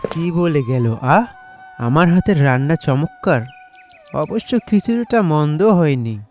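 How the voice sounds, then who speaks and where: Man acting grumbling slow-talking cartoon everyman. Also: a little high, expressive, a little mellow, faint music behind. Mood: puzzled. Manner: talks to himself, exclaiming, sighing. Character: Sharif, grumbling poor bachelor office worker, kind-hearted. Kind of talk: animated folk tale voice acting.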